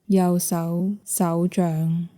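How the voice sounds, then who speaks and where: A woman with a Hong Kong Cantonese accent guides a yoga nidra meditation in a soft, measured, soothing way, leaving long pauses. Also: fairly low pitch, flat delivery.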